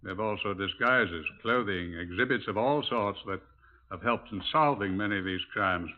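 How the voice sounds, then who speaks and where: A man's voice: fairly low pitch, moderate pace, lightly acted. A man playing a formal British chief inspector who explains things precisely, in 1950s radio drama style. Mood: formal, instructive. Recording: muffled narrowband vintage radio recording.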